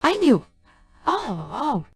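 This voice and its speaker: A synthetic female voice playing a sorrowful, devoted young genie in a game cutscene, apologetic and halting. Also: sits high, wildly swooping pitch, dry recording, full character voice. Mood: sorrowful regret. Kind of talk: dubbed video game cutscene dialogue.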